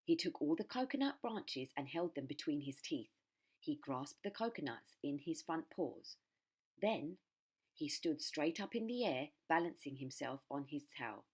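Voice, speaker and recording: Low, husky-voiced woman reading a children's story. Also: sing-song, a little slow, darkish tone. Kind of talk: expressive storybook narration for children.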